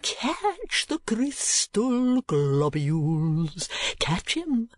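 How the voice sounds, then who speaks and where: A woman voicing an eccentric, courtly old gentleman in a storybook, with pompous, grandiose phrasing. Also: medium pitch, sing-song, dry recording, pitched above the performer's own voice, full character voice. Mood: excited, commanding. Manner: florid, pompous, drawn-out phrasing.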